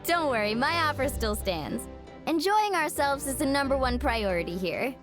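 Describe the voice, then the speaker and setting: A confident young heroine in a video game, pitched high and very animated. The character sounds peppy and persuasive, with music playing clearly behind. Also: female voice, normal speed. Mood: cheerful.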